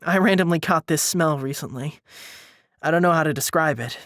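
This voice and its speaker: A man's voice: fairly high pitch, moderate pace, a bit bright, no room sound, clean studio sound. A man playing a self-doubting male college student in audiobook dialogue, speaking in a hesitant, faltering, defensive way. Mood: wistful, hesitant. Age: young adult.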